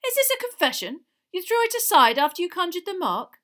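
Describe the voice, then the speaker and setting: A woman's voice: on the high side, big pitch swings, normal speed, a bit bright, pitched above the performer's own voice. A woman voicing a blustering, accusing male wizard official who barks and growls his words in a storybook reading. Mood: incredulous. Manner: barking, growling, interrogating.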